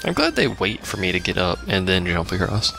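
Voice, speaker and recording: Wry man with creaky, lowish voice. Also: wildly swooping pitch, brisk. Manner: wry, sarcastic asides. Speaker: let's play commentator. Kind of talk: casual gameplay commentary. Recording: game music behind the voice.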